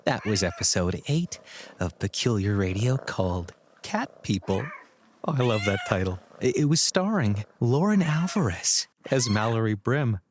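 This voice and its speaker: A man's voice: on the low side, big pitch swings, normal speed. A man playing a whimsical, genteel radio announcer reading credits theatrically, savoring each one with playful asides, over some noise. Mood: playful, delighted.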